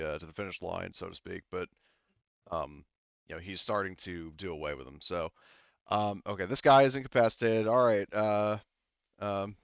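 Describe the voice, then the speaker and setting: A man speaking casually and haltingly as the game master of a tabletop roleplaying game, thinking aloud. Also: on the low side, fairly bright tone, smooth, no echo, lo-fi sound.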